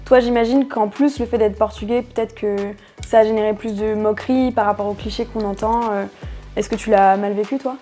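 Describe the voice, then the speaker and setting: A woman's voice: mid-range voice, fairly even intonation, brisk, dark tone, faint music behind. A woman interviewing a guest, asking curious questions in a casual way.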